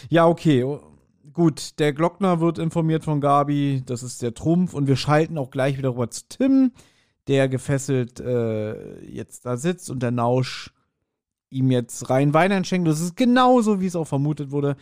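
Male podcast co-host, higher pitch, informal and conversational, animated storytelling delivery. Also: moderate pace. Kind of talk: casual chat, speculating about an audio drama episode.

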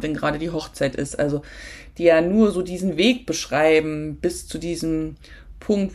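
A woman hosting a solo podcast, talking casually and reflectively straight to the listener, with frequent filler sounds and self-corrections. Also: low-pitched. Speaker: podcast host.

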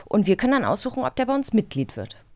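A woman chatting casually as a podcast host, with an animated, playful way of telling stories. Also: fairly high pitch, fast, no echo. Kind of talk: casual chat.

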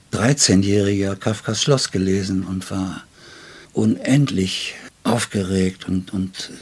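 Older male writer, northern German origin, low husky voice, pensive and halting interview delivery. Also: darkish tone. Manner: thoughtful, halting, tag questions. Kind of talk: reflective literary interview.

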